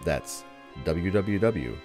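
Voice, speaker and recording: A man's voice: low-pitched, animated, slow. A man reading a scripted closing announcement as a radio announcer. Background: music.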